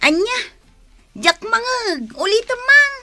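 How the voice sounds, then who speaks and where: A woman's voice: very high-pitched, sing-song, slow pace, bright, forceful tone, faint music behind, exaggerated voice. A woman with an Ilocano accent playing a sweet, agreeable younger sister in a radio comedy drama. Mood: amused. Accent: Ilocano, northern Philippines.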